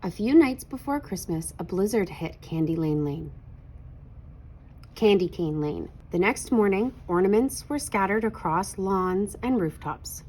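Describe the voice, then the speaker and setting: Slightly husky woman reading a storybook. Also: medium pitch, animated, a little slow, darkish tone. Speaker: children's read-aloud narrator. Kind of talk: expressive storybook narration.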